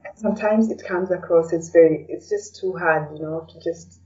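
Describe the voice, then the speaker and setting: Podcast guest: mellow female voice, chatty and hesitant, slightly distant from the mic. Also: fairly low pitch, normal speed. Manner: chatty, hesitant, frequently adds "you know". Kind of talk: casual interview conversation. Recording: dull, distant, faint background noise.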